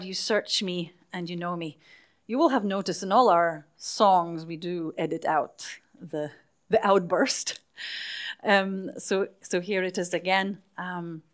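A woman minister leading a church service, speaking softly and unhurriedly, with frequent hesitations, sometimes dropping to a near whisper. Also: on the low side. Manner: soft, unhurried, hesitant with fillers. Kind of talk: leading worship and interviewing a church member.

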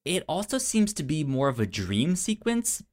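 Halting, stuttering man chatting about a film. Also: on the high side, big pitch swings, a little mellow. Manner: halting, stuttering delivery with repeated syllables. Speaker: podcast co-host. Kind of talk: casual film discussion.

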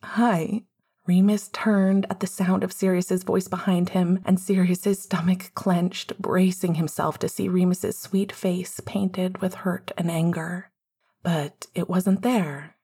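A woman's voice: fairly low pitch, little pitch movement, slow pace, mellow, husky. A woman reading scripted fiction as the narrator of an audiobook. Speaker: podfic narrator.

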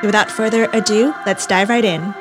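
A woman's voice: medium pitch, fairly even intonation, normal speed, loud music behind the voice. A woman co-hosting a podcast in a friendly, enthusiastic way, with an occasional "uh" or "um". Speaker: podcast co-host. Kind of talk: casual podcast chat.

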